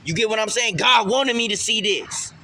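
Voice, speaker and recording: A man's voice: high-pitched, normal speed, sharp tone. A man speaking emphatically in street slang in a casual spiritual vlog monologue, often repeating phrases for emphasis. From Puerto Rican heritage. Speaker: vlogger.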